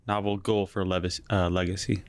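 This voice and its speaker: A man with a fairly low voice co-hosts a relaxed podcast. He mostly chimes in with brief agreement and easygoing remarks, and he sometimes asks the guest questions. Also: fairly quick. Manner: laid-back, short agreeing replies. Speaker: podcast co-host, high school teacher. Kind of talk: casual chat.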